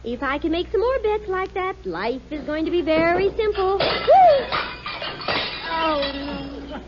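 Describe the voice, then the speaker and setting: A woman's voice: very high-pitched, animated, unhurried, highs cut off. A woman playing a cheerful, teasing wife and mother in a 1950s radio comedy, giggling as she speaks. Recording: hissy old radio broadcast with studio audience.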